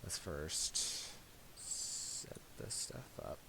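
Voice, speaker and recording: A man with a low voice talks through a software tutorial in a casual, halting way, thinking aloud. His intonation swings widely over a clearly hissy home recording with clicks. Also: very animated.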